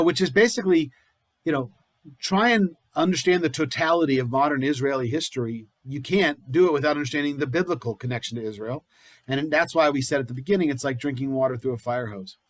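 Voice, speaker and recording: A man hosts a congregation program over a video call, moderating a question-and-answer session. He talks casually and leans on fillers. The sound is dry, with no room echo. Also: mid-range voice.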